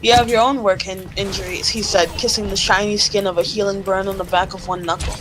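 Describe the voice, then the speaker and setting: A woman's voice: medium pitch, fairly even intonation, brisk, music in the background, low-quality recording. A woman reading fan fiction aloud in a casual group call, giggly and often stumbling and repeating phrases. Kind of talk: amateur read-aloud of fan fiction during casual chat.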